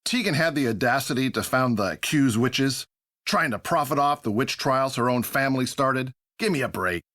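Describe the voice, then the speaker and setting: A man's voice: medium pitch, animated, moderate pace, a bit bright, no echo, studio quality, natural voice. A man playing a self-righteous, gossipy townsperson in a video game, scornful and emphatic. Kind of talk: scripted video game dialogue.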